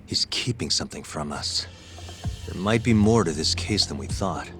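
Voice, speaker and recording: Cool lawyer investigator, very low voice, dry and probing, persistent, game cutscene, music behind. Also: male voice, animated, moderate pace, a bit bright. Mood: businesslike. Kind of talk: video game cutscene acting.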